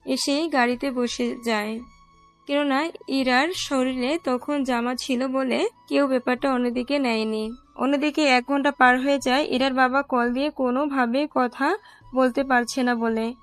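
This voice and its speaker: A woman narrator with a high-pitched voice tells a scripted romantic story, with faint music underneath. She reads as a storyteller, line by line. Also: fairly even intonation, measured pace.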